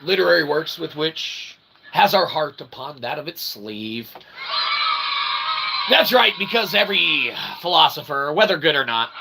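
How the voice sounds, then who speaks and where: A man's voice: a little high, expressive, a little slow, vocal fry, noisy background. A man hosting a livestream in a rambling monologue, wordy and mock-formal, repeating himself emphatically with tag questions. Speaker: livestream host and self-published author.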